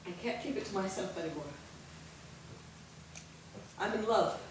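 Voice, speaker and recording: An actress playing an intense sister urgently confiding a secret love on stage. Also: female voice, on the high side, very animated, normal speed. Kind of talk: stage acting. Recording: echoey stage from far off, faint noise.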